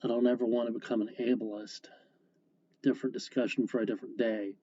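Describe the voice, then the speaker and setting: A man's voice: mid-range voice, little pitch movement, a little fast, mellow, slightly rough. A man hosting a casual solo podcast, rambling and correcting himself as he talks. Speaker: comic strip creator, podcast host. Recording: dull lo-fi sound, faint guitar.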